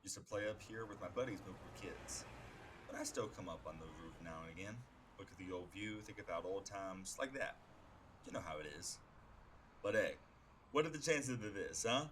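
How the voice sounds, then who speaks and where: A man's voice: sits low, normal speed, background noise, natural voice. A man voicing a brash but friendly streetwise hitman in a comic dub, talking in a casual, slangy, blurting way. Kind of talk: dramatic comic-book dub dialogue.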